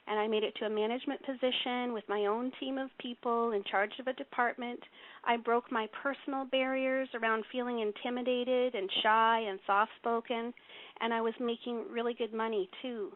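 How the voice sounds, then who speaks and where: A woman in her forties with a young-sounding voice, giving an earnest, casual talk on a call, with many fillers and restarts. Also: a little high. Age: 40s. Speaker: speaker on a teleconference call. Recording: tinny phone line.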